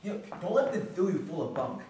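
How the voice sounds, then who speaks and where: Man acting high-pitched agitated clerk, echoey stage. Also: sing-song, warm tone. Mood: scornful. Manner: rambling, halting, slangy, swinging from muttering to outbursts. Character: agitated, bitter working-class male bookkeeper confessing a killing. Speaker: stage actor. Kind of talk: theatrical stage monologue. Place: theatre stage. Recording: echoey distant stage recording, faint noise.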